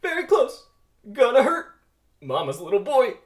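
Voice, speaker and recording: A reader voicing an excitable young male bee, squealing out breathless exclamations in a cartoon film retelling. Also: medium pitch, unhurried, voice pushed up. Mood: panicked.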